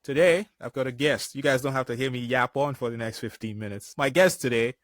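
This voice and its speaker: A man's voice: mid-range voice, fairly quick, dry recording, clean studio sound. A man from Africa hosting his podcast, introducing his guest with enthusiasm and stumbling over repeated words as he starts his questions. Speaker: podcast host.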